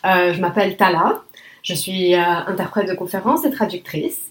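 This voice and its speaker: A woman's voice: on the low side, normal speed, roomy sound. A woman from Lebanon whose first language is Arabic, a conference interpreter explaining her work methodically with frequent filler sounds.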